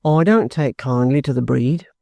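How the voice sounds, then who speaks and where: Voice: mid-range voice, moderate pace, vocal fry, mellow, dry recording, voice pushed down, natural voice. A reader voicing a bluff, stubborn ex-soldier in an audiobook, courteous, plain-spoken and offhand. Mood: polite, firm. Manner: respectful, offhand, self-possessed.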